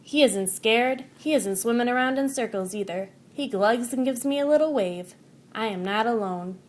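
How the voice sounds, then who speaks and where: A woman narrating a children's picture book in an expressive, animated storytelling manner. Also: a little high, moderate pace, slight background noise. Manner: animated, acts out dialogue and sound words. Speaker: storybook reader.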